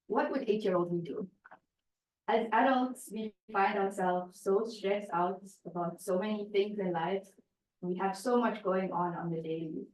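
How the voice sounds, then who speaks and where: A woman's voice: medium pitch, darkish tone. A woman from Africa, giving a prepared speech at a club meeting and telling her story while asking the audience questions. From South Africa. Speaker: club speaker. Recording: echoey room, distant mic.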